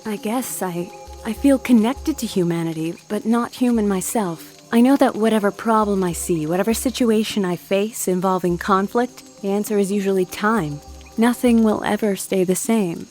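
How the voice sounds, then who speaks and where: A woman's voice: medium pitch, normal speed, a little mellow, lightly acted. Audio drama immortal female actor: reflective, thoughtful, weary of the world, middle register, light music bed.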